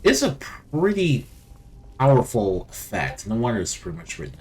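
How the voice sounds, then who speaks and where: A man's voice: mid-range voice, huge pitch swings, moderate pace, darkish tone. A man giving casual, rambling game commentary as host, often repeating his phrases. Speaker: gaming video host.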